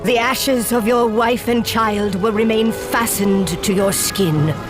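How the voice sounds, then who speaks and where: An actress playing a solemn, ominous village oracle in a video game, speaking as if pronouncing warnings and curses. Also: female voice, a little high, fairly even intonation, takes it slowly. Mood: solemn condemnation. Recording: music behind. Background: music.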